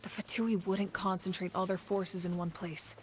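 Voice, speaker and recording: A woman playing a level-headed, watchful adventurer in a video game, speaking in a measured, explanatory way. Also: on the low side, level tone, slight background noise, lightly acted. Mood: calm, alert. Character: level-headed, watchful female adventurer giving tactical advice. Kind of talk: video game dialogue acting.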